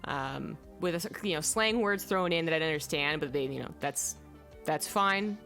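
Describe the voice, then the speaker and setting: Low-voiced woman rambling through a film review. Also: normal speed, sharp tone, soft background music. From native English speaker. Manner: rambling, searches aloud for names, many fillers. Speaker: vlogger reviewing films. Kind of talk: casual film review chat.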